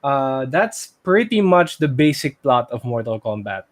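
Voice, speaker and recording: A man with a Filipino accent co-hosting a casual podcast, talking loosely and thinking aloud with pauses. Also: medium pitch, very animated. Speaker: podcast co-host. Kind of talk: casual pop culture podcast chat.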